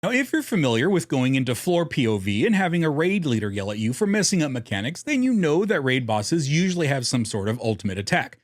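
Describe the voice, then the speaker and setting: A man's voice: mid-range voice, sing-song, fast talker, hardly any pauses. A man hosting a scripted guide video, narrating in a casual style with playful, wry asides. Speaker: host of a card game video.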